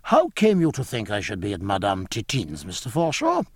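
A man voicing a mild, courteous naval doctor with a formal, measured, politely questioning manner in an audiobook. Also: lowish voice, wildly swooping pitch, slightly rough, dry recording, studio quality, voice pushed down, heavily acted. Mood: mildly puzzled. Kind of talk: audiobook character dialogue.

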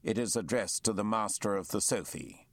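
A man voicing a hearty, confident naval captain who speaks briskly and genially. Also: fairly low pitch, animated, gravelly, no room sound, clean studio sound.

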